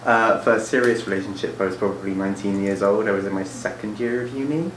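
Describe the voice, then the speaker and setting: A man's voice: on the low side, fast talker, a bit bright. An easygoing man from the UK chats casually and laughs easily. His voice sounds roomy and distant, with faint noise in the background. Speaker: student guest. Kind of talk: casual discussion. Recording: echoey room, far from mic.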